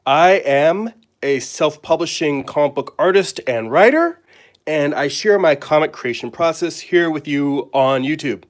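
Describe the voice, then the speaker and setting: A middle-aged man, hoarse and raspy from a cold, clearing his throat as he chats casually with fillers while drawing at his table. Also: a little high. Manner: rambling, friendly, halting with fillers. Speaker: comic artist and live-stream host. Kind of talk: casual live-stream chat. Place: home studio at a drawing table.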